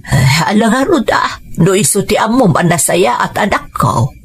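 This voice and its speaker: A woman's voice: mid-range voice, sing-song, moderate pace, vocal fry, pitched above the performer's own voice. A woman with an Ilocano accent voicing a caring mother in an audio story, tender and concerned.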